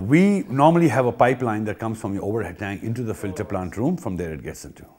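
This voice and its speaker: Male trainer, Indian accent, lowish pitch, very lively intonation, informal and emphatic instruction with rhetorical questions. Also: slightly rough. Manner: emphatic, repetitive, checks with rhetorical questions and "okay?". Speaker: pool company trainer.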